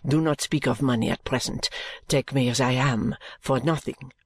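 Audiobook reader doing intense french lady, french accent, low subdued voice, earnest and very polite, close dry sound. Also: fairly even intonation, normal speed, breathy edge, no echo. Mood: ardent pleading. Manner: earnest, pressing, subdued, courtly. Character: fervent, intense Frenchwoman seeking work as a lady's maid.

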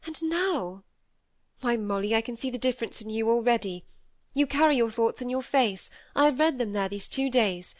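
A woman's voice: on the high side, expressive, moderate pace, no room sound, voice pushed up, lightly acted. Lady reader doing a dramatic young woman in a novel, scornful and worked up, close dry sound. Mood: reproachful. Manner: emphatic, agitated. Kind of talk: acted audiobook dialogue.

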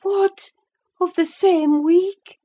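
Frightened, fevered young gentlewoman, high-pitched, high-strung and wilful, for a classic storybook. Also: takes it slowly. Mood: fretful. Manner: anxious, gasping, high-strung and dramatic.

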